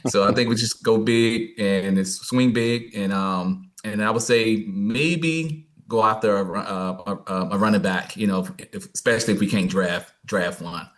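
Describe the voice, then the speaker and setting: Low, bright-toned man in his forties. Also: normal speed, few pauses. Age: 40s. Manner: laughs along, short agreeing replies. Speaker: caller. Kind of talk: casual group chat.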